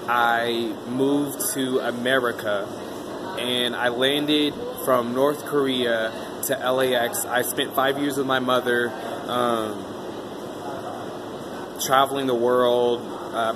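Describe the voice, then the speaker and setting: A man tells his own story in a loose, rambling monologue, often stopping for an um. His pitch sits in a middle range. A clear air-conditioner hum runs under his voice throughout. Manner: rambling, many um fillers. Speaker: vlogger interviewing himself. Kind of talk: casual self-interview monologue.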